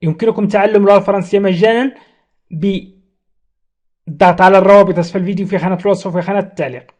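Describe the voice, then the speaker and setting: A man teaching a language lesson, saying each phrase slowly and repeating it, then explaining it quickly. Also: sits high, steady tone, darkish tone. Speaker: French teacher.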